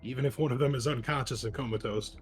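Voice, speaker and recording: A man's voice: mid-range voice, fast, soft background music. A man chatting casually as a player in a tabletop roleplaying game.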